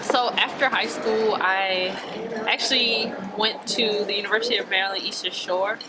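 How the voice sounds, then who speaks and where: A woman's voice: mid-range voice, steady tone, moderate pace, very noisy. A 27-year-old woman speaking in a plain, matter-of-fact way in a documentary interview. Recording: loud background music.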